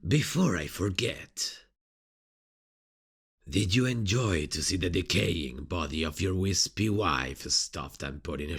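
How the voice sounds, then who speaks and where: Playful sadistic killer, low-pitched male voice, gravelly fry, mocking, drawn-out teasing, ASMR roleplay villain. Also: a bit bright, heavily acted. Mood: cruel delight. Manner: mocking, teasing, drawn-out delivery with sinister chuckles. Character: sadistic, playful serial killer taunting a detective.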